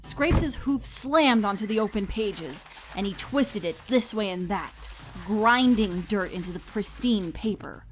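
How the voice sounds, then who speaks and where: A woman's voice: mid-range voice, expressive, measured pace. A woman reading scripted story narration as the narrator. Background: music.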